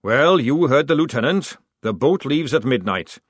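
A man reading an audiobook, voicing a gruff veteran army sergeant who barks his orders loudly. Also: mid-range voice, lively intonation, moderate pace, no echo, clean studio sound, pitched above the performer's own voice, close to an everyday voice. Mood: commanding.

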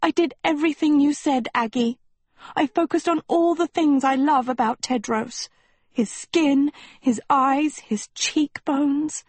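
A woman's voice: high-pitched, measured pace, no room sound, voice pushed up. A woman voicing a vain, dramatic teenage girl in a storybook, complaining theatrically and full of huffy self-pity. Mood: wounded, dramatic.

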